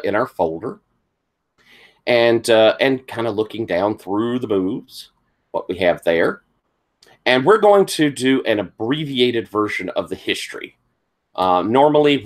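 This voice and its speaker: A tired man with a thick Southern US accent, politely walking players through tabletop game setup as game master. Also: on the low side. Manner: polite, accommodating. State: tired from lack of sleep.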